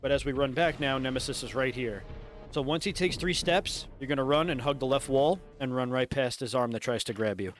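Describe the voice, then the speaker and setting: A man giving casual, instructive walkthrough commentary on a video game, his voice congested and raspy from a head cold. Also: mid-range voice, a little fast, faint music behind. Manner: casual, instructive, mildly profane. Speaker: gameplay commentator.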